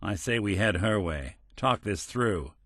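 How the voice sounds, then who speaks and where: A man's voice: on the low side, lively intonation, a little slow, fairly bright tone, dry recording, clean studio sound. A man voicing a weary, wry old-school detective robot with an American accent and hard-boiled noir phrasing, in a video game. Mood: wary, advising.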